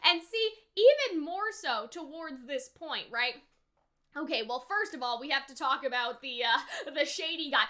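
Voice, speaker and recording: Bright, high-pitched woman chatting casually. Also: lively intonation, normal speed. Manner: chatty, peppered with "like" and "right?". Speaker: host of an anime reaction and review. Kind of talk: casual unscripted reaction commentary.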